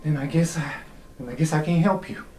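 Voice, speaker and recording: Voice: medium pitch, fairly quick, a little mellow, slight background noise, close to an everyday voice. A game master voicing a blunt, wheedling male monster with folksy, slangy, repetitive speech in an improvised tabletop game. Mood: agitated, wheedling. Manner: folksy, slangy, swearing, repeats himself. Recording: roomy sound with music behind.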